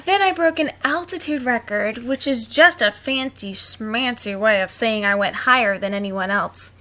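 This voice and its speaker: A woman's voice: on the high side, a little slow, hardly any pauses. A woman reading picture books aloud to children like a teacher, expressively, with simple casual asides. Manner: expressive, simple explaining to young listeners.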